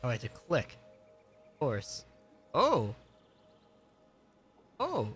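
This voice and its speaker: A man's voice: medium pitch, huge pitch swings, takes it slowly, fairly bright tone. Male game streamer musing aloud over music. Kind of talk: casual live gameplay commentary.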